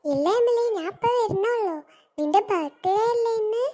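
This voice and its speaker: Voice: very high voice, lively intonation, moderate pace, mellow. A performer voicing a friendly, polite young cartoon schoolgirl, a loyal best friend, in a childish manner. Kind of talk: scripted cartoon dialogue.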